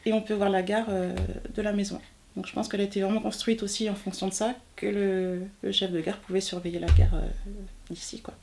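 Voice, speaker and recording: A woman's voice: medium pitch, little pitch movement, a little fast, creaky, a little mellow, slight background noise. A woman in her forties from Normandy, France, telling a personal story informally, often searching for words. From southern Manche, Normandy, France. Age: 40s. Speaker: interviewee, textile artist.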